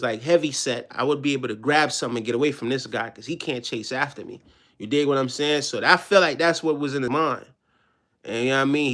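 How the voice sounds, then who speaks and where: A man's voice: mid-range voice, fairly bright tone. A man touched by talk, chatting casually on a live stream in a slangy way, often checking that listeners follow. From Jamaica. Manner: slangy, repeats check-in tag phrases.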